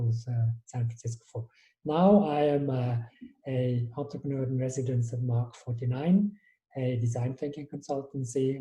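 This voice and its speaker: A man's voice: medium pitch, dark tone, roomy sound, low-quality recording. A man from Switzerland, a guest in an interview, talking thoughtfully and often restarting his sentences. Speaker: guest, innovation strategist. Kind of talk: conversational interview.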